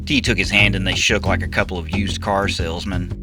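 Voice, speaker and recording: A man's voice: deep voice, fairly even intonation, a little fast. A man reading a scripted first-person story as an audiobook narrator. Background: music.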